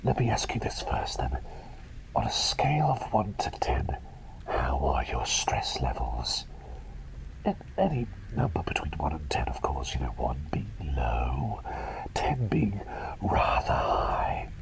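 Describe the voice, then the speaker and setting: A man performs an eccentric male plague doctor in an ASMR roleplay. The breathy whisper sinks very low and crackles with gravel, swinging widely in pitch. He rambles playfully and reassures the listener softly, as if tending to a patient. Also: big pitch swings, slow pace, full character voice. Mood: soothing, attentive.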